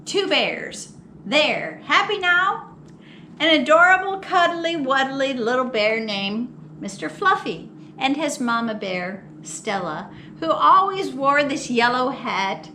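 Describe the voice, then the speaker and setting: A woman reading a children's picture book aloud as a storytime host, expressive and theatrical with drawn-out words. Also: high voice, a little hiss or hum. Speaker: storytime host at a public library.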